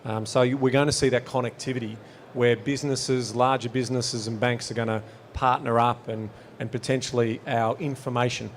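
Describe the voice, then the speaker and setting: Man, podcast host, mid-pitched, winding questions, faint background ambience. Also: steady tone, brisk, a little hiss or hum. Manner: long, winding questions with fillers. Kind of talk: interview questions at a live podcast. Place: live podcast stage at a property expo.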